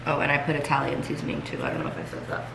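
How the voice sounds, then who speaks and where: A woman's voice: sits low, very animated, racing, vocal fry. A young woman talking casually to her viewers in a chatty vlog, sounding congested from illness and using lots of fillers. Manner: chatty, filler-heavy, talks straight to viewers. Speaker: vlogger. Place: home kitchen, then seated at home. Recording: echoey room with noticeable background noise.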